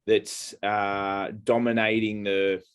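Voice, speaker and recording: Rambling podcast co-host. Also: male voice, on the low side, level tone, smooth. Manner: rambling, halting storyteller with many fillers. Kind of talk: casual chat.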